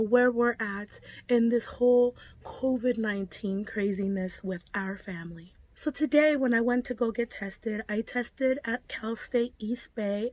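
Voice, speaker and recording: A hoarse woman talks to her viewers in an earnest, chatty way, her voice worn down and nearly gone from coughing. Her pitch sits a little high, and the sound is dry and close, with almost no room around it. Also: a little mellow, no room sound, poor audio. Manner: earnest, chatty, many fillers. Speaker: vlogger. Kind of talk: casual personal update to viewers.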